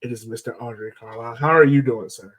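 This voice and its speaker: A man's voice: medium pitch, brisk. American man hosting a casual sports podcast. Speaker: podcast host.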